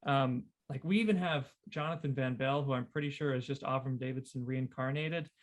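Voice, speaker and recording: A man's voice: mid-range voice, fairly quick, background noise. A man talking casually on a podcast in an encouraging, agreeable way.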